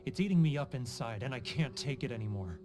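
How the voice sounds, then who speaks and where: A man's voice: medium pitch, lively intonation, fast, loud music behind the voice, natural voice. An actor playing a homesick, guilt-ridden male merchant in a video game, theatrical and sighing, self-pitying in manner. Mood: guilty, despairing. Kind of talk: video game character acting.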